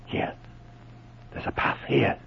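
An actor playing a wary, loyal marshal, hushed and plain-spoken, in a 1940s radio adventure serial. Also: male voice, takes it slowly, lightly acted. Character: wary, loyal marshal, the inspector's male sidekick. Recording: muffled, noisy old radio recording.

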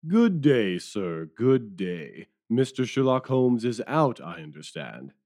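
A man plays a humbled police detective in an audio drama. The voice is low and mellow, with pitch that swings widely. He is wordy, deferential and rueful. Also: very animated, slow, exaggerated voice. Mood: polite, subdued.

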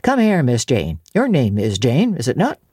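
A woman voicing a brisk, skeptical but good-natured male apothecary in a storybook reading, questioning and gruffly teasing. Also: very deep voice, wildly swooping pitch, warm tone, no echo, studio quality, full character voice. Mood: brisk, kindly.

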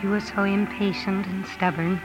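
A woman's voice: medium pitch, little pitch movement, normal speed, lightly acted, poor audio. An actress playing a sincere, passionate young woman writer in a 1950s radio drama, earnest and emotional. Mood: calm, resigned. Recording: old radio broadcast with music.

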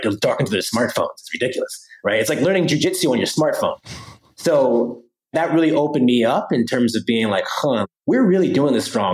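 A low-pitched man from the United States tells his story as an interview guest. He talks casually and energetically, dotting his sentences with "right?" and "you know.". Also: rough recording. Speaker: podcast guest.

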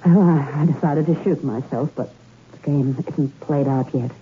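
A woman's voice: sits low, moderate pace, lightly acted. A tinny, slightly hissy old radio recording carries an actress playing a bitter young woman criminal. She is melodramatic and world-weary, full of self-loathing, in the manner of 1960s suspense drama. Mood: resigned, bitter.

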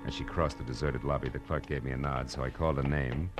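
A man's voice: rumbling low, fairly even intonation, fast. A man playing a hard-boiled, wisecracking private detective in a 1940s radio drama, wry, clipped and slangy. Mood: tense, brisk narrating. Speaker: lead actor and narrator. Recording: old radio drama with music behind.

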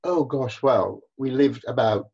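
A man's voice: mid-range voice, a little slow, creaky, a little mellow, husky. An older man from Barbados, reminiscing as an interview guest, repeating phrases for emphasis, on a muffled recording. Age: older, over 60. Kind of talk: reminiscing in conversation.

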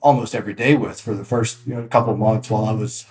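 A man's voice: on the low side, steady tone, quick pace, a little mellow, reverberant. A man guest on a podcast, telling stories about his work in a casual, rambling way with many restarts. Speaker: podcast guest, real estate agent. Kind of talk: casual interview storytelling.

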